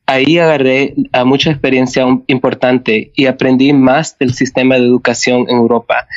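Male teacher guest, English-dominant, mid pitch, careful halting delivery, dry and slightly muffled recording. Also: no room sound. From Canada, born in El Salvador. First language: English dominant, heritage Spanish speaker. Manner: careful, halting with slips and corrections. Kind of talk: prepared talk as interview guest. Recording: dull, dry lo-fi sound.

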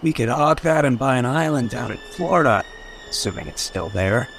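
An actor playing an irreverent, scheming rogue with a flippant, sardonic manner in a radio drama. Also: male voice, medium pitch, expressive, normal speed, a bit bright, slight background noise. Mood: dreamy, scheming. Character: irreverent, scheming male rogue in detective mystery. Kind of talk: old-time radio drama acting.

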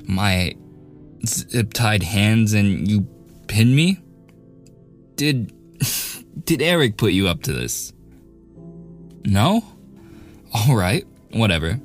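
A man playing a cocky young student bully who sneers and gets flustered, in a boyfriend ASMR audio roleplay. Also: fairly low pitch. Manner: sarcastic, taunting, hesitant stammering under pressure.

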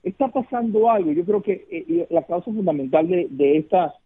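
Venezuelan man on a husky phone line. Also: high-pitched, expressive, normal speed. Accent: Venezuelan Spanish. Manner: earnest, repeats words while searching, rhetorical questions. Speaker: political consultant guest. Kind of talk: radio interview over the phone.

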